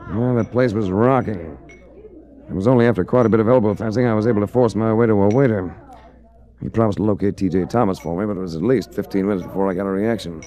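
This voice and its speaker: Low-voiced, hard-boiled actor's radio detective. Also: male voice, warm tone, natural voice. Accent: American. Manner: wry, clipped, hard-boiled. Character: tough, wry male insurance investigator. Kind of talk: 1940s radio detective acting and narration.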